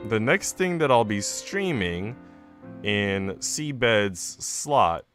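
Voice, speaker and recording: A man's voice: on the low side, fairly bright tone. A man chatting casually and ramblingly to viewers as a game streamer. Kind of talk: casual livestream chat. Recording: music playing behind the voice. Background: background music.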